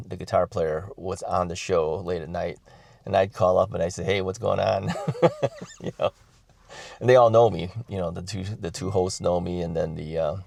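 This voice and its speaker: A middle-aged man talks casually to his viewers in a deep, gravelly voice. He rambles, restarts sentences and chuckles between thoughts, all recorded dry and close. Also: a little mellow, dry recording. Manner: rambling, many fillers and restarts, chuckles often. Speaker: vlogger. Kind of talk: casual talk to camera.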